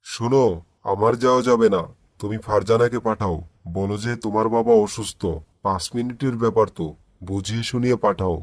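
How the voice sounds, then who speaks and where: A man's voice: lowish voice, fairly even intonation, brisk, no room sound, close to an everyday voice. A man voicing a worried, mild-mannered village father in a moral cartoon, earnest and plain, with a Bangladeshi accent. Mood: persuading. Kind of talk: cartoon moral story dialogue.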